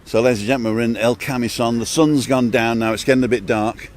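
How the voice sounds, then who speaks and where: An upbeat man from England holds a phone out on a seaside street and chats with strangers for his livestream. His voice sits at an average pitch for a man. He is friendly, repeats what people tell him, and keeps the talk moving. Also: fairly even intonation, fast talker. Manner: chatty and upbeat, greets strangers and repeats their answers. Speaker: live-streaming vlogger stopping passers-by. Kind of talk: casual street interviews on a livestream. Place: outdoors on a seafront street at dusk.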